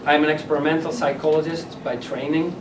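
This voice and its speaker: A man's voice: a little high, level tone, a little fast. A man from the Netherlands with a Dutch accent, giving a talk to a small audience in a meeting room, hesitant with many fillers and restarts. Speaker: guest speaker, psychologist. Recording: roomy, distant mic, loud background noise.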